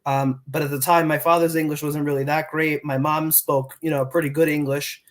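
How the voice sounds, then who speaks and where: Earnest young man, slightly high voice. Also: little pitch movement, brisk. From New York, United States. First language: Portuguese. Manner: earnest, with many fillers and restarts. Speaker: middle school Spanish teacher. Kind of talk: casual interview answers.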